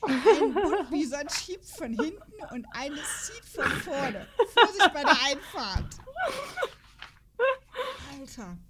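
A woman's voice: high-pitched, big pitch swings, takes it slowly, a crowd in the background. A woman in a casual podcast chat, playful and giggly, reading character profiles aloud and stumbling over words. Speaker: podcast host.

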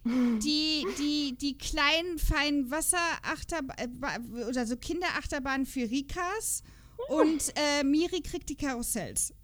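A woman's voice: high voice, a little slow, fairly bright tone. A woman podcast host chatting casually in a talkative, rambling way. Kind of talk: casual chat.

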